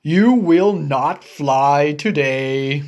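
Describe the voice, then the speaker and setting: Woman putting on a low, doubtful male elephant. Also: exaggerated voice. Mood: dismissive. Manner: flat contradictions, slow and emphatic. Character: doubtful, deadpan male elephant, piglet's worried friend. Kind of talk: children's picture book read-aloud.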